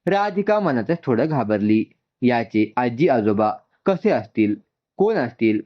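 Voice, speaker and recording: A man's voice: medium pitch, very animated, measured pace, dull audio. A man narrating a scripted story as a storyteller, voicing its dialogue along the way.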